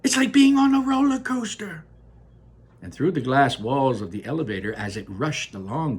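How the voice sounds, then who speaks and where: A man reads a children's book aloud with lively, animated delivery and plenty of expression, sometimes adding his own asides. His voice sits at an average pitch and swoops widely, carrying a soft husky grain. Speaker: reader.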